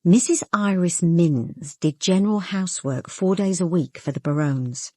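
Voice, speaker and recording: A woman's voice: fairly low pitch, measured pace, a little mellow, no echo, studio quality. An actress playing a composed, observant woman detective who narrates a radio drama in the first person. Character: observant, guarded young woman detective narrating her case.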